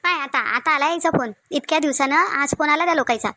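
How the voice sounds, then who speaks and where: A sharp-tongued village mother-in-law from a family cartoon comedy, acted by a woman. She speaks very high and quickly in a Varhadi Marathi accent. She is bossy and suspicious, scolding and insisting. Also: no echo. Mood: bossy, grumbling. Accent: Varhadi (Vidarbha) Marathi.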